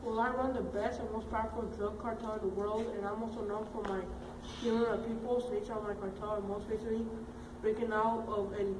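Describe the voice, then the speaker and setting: A woman's voice: medium pitch, flat delivery, a little fast, close to an everyday voice. Woman as a boastful cartel boss, plain delivery, far from the mic in an echoey, noisy room. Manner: matter-of-fact bragging. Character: ruthless boastful male drug lord.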